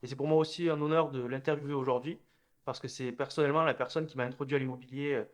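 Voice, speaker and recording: A young man hosting an interview, asking questions briskly with many filler hesitations. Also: medium pitch, steady tone. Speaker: interviewer, host. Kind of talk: interview host.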